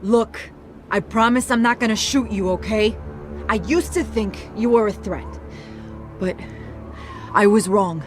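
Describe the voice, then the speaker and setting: A woman's voice: medium pitch, measured pace, long pauses, soft background music, natural voice. An actress playing an earnest, determined policewoman in a video game, sincere and resolute. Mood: earnest, apologetic. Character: earnest, apologetic, determined female cop.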